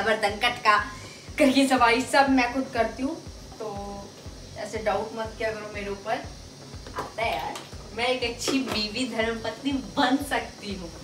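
A young woman in her twenties chatting playfully to camera in a small home kitchen, self-mocking and chatty. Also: mid-range voice. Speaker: vlogger. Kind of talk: casual vlog chat to camera. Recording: echoey kitchen with music underneath.

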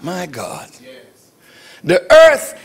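An older man preaching a sermon to a church congregation, building to shouts and mimicking voices to mock division. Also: sits high, huge pitch swings, extremely slow. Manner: preaching with rising shouts and acted mocking voices.

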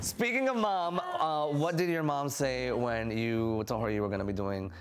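A man's voice: mid-range voice, normal speed, crowd noise. A man in his thirties hosting a casual interview, chatty and sassy with slangy turns. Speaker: host, interviewer. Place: indoor studio set.

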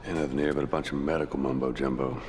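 An actor voicing a weary, gruff male survivor who talks tersely and keeps watch over a girl. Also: very deep voice, fairly even intonation, quick pace, darkish tone. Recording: noisy game audio.

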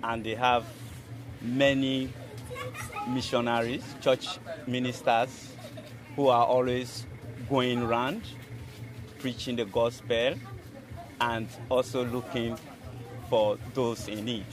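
Male church official, 40s, slow and halting, outdoor interview amid crowd chatter. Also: mid-range voice, little pitch movement, rough recording. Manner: halting, phrase by phrase. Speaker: church administrative clerk being interviewed. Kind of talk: interview answer. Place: outdoor yard with people around.

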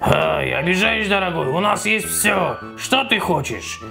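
A man's voice: sits high, expressive, unhurried, creaky, music in the background, exaggerated voice. A man voicing a pushy, effusive toy snack cook with wheedling, sing-song sales patter.

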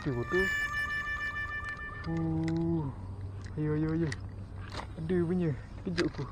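A man's voice: fairly high pitch, slow pace, background noise. A young man with a Malaysian Malay accent, talking casually to camera while fishing by a plantation canal, muttering to himself and perking up when a fish bites. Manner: muttering to himself while casting, bursts of excitement on strikes. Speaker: fishing vlogger. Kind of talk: casual fishing vlog commentary. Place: outdoors by a canal in an oil palm plantation. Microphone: action camera worn on the body, then held at arm's length.

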